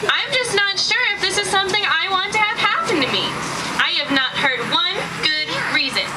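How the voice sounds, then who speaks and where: A woman plays an anxious young sheep in a homemade puppet show, full of questions and exclamations. The voice is high and comes from well away from the mic, under very loud outdoor farm noise. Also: expressive, fairly quick, far from the mic. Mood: worried, hesitant. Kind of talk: amateur puppet show dialogue.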